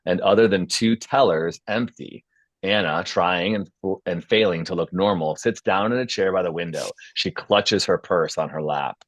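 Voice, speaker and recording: A man's voice: deep voice, moderate pace, dry recording. A man narrating stage directions at a script table read.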